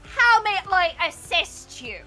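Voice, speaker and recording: A grand, mystical lady psychic for a comic radio play. Her voice is very high and lilting, drawing words out with portent and theatrical soothing. Music sits behind it. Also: big pitch swings, slow pace, sharp tone, exaggerated voice. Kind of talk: improvised comedic radio-drama table read.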